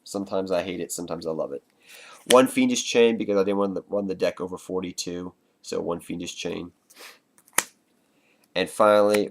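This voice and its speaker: A man with a cold and a congested voice, chatting loosely to his viewers as he explains his card game deck. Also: sits low.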